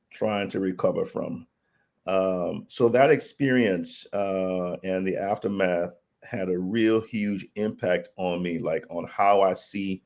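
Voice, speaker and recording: A middle-aged man raised in Liberia, speaking as an interview guest in a thoughtful, measured way with frequent pauses. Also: sits low, gravelly, darkish tone. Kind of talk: reflective conversational storytelling.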